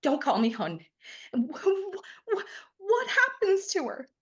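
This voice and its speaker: A woman playing a sardonic, anguished mother in a dramatic table read, emphatic and halting when upset, on dull lo-fi audio. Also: very high voice, huge pitch swings, exaggerated voice. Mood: distraught. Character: Lily, sardonic young mother, anguished, fiercely emotional. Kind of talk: live screenplay table read, dramatic acting.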